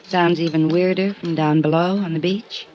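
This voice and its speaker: An actress playing a bored, flirtatious vacationer, coy, wry and worldly, in 1950s radio noir style. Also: female voice, on the low side, steady tone, normal speed, a little mellow, soft background music, close to an everyday voice. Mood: casual, coy. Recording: dull vintage radio recording.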